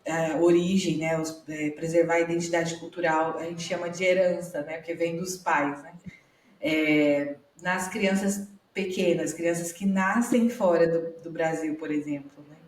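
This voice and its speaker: A woman's voice: fairly low pitch, steady tone, a little slow, echoey room, distant mic. A Brazilian woman, a psychologist, explaining things in a conversational way, with many hesitations and tag questions. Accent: Brazilian Portuguese. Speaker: psychologist guest. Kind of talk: conversational video call discussion.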